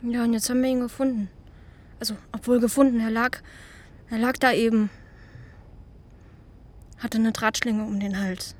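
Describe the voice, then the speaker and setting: A woman's voice: on the high side, steady tone, normal speed, pauses often, a little mellow, close to an everyday voice. A woman playing a chatty, dreamy schoolgirl in her early teens in a naturalistic German radio drama, speaking colloquially and searching for words. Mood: hesitant, sympathetic. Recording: outdoor ambience with crickets, clear background noise.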